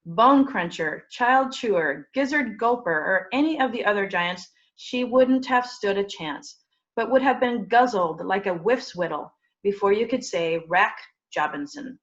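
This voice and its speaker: A woman's voice: medium pitch, expressive, a little slow, fairly bright tone. A woman hosting a library program over a video call, presenting books and interviewing casually. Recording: dull video call audio.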